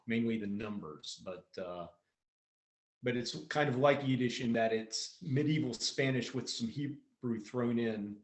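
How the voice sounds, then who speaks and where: A male cantor explains a song before performing it. He has a medium-pitched voice and a halting, informative manner, heard in a noticeably echoey room.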